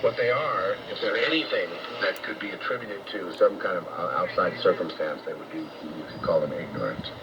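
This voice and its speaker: An American man preaching on shortwave radio in a blunt, confrontational, profane rant, halting and repeating himself. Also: sits low. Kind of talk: unscripted ranting sermon. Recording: noisy muffled shortwave radio broadcast.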